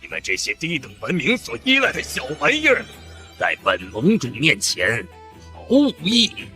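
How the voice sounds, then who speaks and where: A man voicing an arrogant, godlike alien overlord in an animated comic drama, pompous, contemptuous and menacing. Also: on the high side, normal speed, soft background music, full character voice. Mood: haughty contempt.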